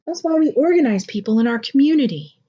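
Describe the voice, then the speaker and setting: A woman voicing a gentle, resolute mother in a picture book read aloud. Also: high voice, expressive, quick pace, husky, lo-fi sound, voice pushed up. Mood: hopeful, resolute.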